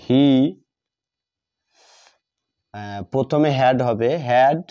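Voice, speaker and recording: A man gives a step-by-step grammar lesson at a slow pace. His voice is mellow and mid-pitched, and he repeats phrases deliberately. The recording is dull and lo-fi. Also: clear, resonant tone. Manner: deliberate, repeats phrases, asks and answers own questions. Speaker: English grammar teacher. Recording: dull lo-fi sound.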